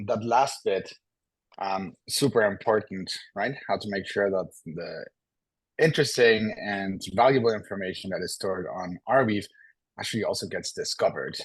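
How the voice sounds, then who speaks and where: A man's voice: low-pitched, slightly rough, dry recording, rough recording. A man moderating an online panel on a video call, friendly and inviting, with frequent hesitant fillers.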